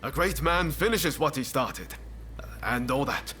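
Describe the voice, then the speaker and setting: Earnest, mid-pitched male comrade. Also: animated, a little slow, bright, forceful tone, soft background music. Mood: relieved, upbeat. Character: earnest, take-charge rebel comrade in samurai-era Japan. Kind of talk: dramatic video game voice acting.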